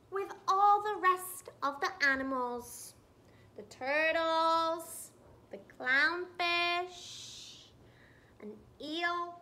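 Very slow, animated woman storyteller. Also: very high-pitched. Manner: animated, exaggerated, questioning young listeners. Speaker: storyteller for young children. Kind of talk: interactive picture-book read-aloud. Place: small room indoors.

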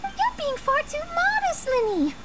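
A woman voicing an eager young female newspaper reporter in a video game, brisk, enthusiastic and inquisitive. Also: extremely high pitch, big pitch swings, very noisy, heavily acted. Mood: excited. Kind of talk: video game character dialogue.

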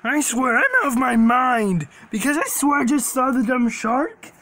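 Squeaky, creaky, dimwitted cartoon creature. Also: male voice, normal speed, sharp tone, slight background noise, exaggerated voice. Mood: combative, rambling. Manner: stammering, repeating himself.

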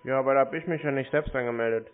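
A man's voice: medium pitch, racing. A man chatting casually and laconically, with blunt, offhand answers. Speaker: scam baiter taking calls. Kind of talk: casual phone conversation. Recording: muffled tinny phone-like audio.

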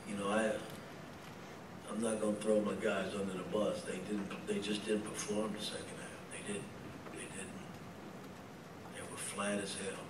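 Low-voiced, blunt coach in echoey press room. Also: male voice, flat delivery. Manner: blunt and measured, frequent "I mean" restarts. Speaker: basketball head coach. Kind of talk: postgame press conference answers. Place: press room with sponsor backdrop. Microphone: table microphone. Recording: roomy echo, distant mic, loud room noise.

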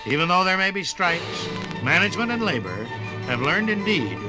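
Higher-pitched male 1950s radio documentary narrator. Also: very animated, moderate pace. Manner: formal, dramatic announcer delivery. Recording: 1950s radio record with music behind.

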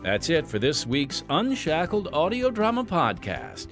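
A man's voice: a little high, huge pitch swings, unhurried, music in the background. A man hosting a podcast in a scripted, radio-style announcing delivery. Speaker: podcast host.

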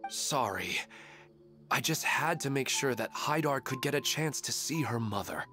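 A man playing a kindly, wistful father in a video game, earnest and gentle. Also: mid-range voice, lively intonation, measured pace, faint music behind. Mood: apologetic. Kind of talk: game voice acting.

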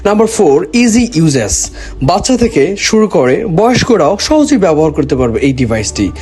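A Bangladeshi man delivers a promotional voice-over in a high-pitched voice. He mixes English phrases into his sales pitch, with light music playing behind him. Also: very animated, fairly quick, darkish tone. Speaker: product presenter for a gadget seller. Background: background music.

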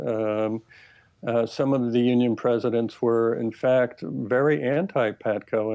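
An American man in his fifties giving measured, deliberate explanations as an expert interview guest over a video call headset. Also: medium pitch, gravelly, mellow, no room sound. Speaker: expert guest, labor history professor. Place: plain room indoors. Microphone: earbud headset on video call. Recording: muffled, lo-fi video call audio.

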